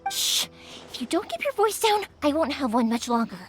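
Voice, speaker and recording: An actress playing a secretive young woman who speaks in hushed, urgent tones in a game. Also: sits high, sing-song, moderate pace, soft background music. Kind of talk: game voice acting.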